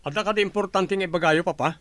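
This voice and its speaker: A man's voice: high-pitched, racing, a bit bright, no echo. A man with a Filipino accent playing a troubled businessman and son in a radio drama. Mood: troubled, earnest. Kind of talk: Ilocano radio drama acting.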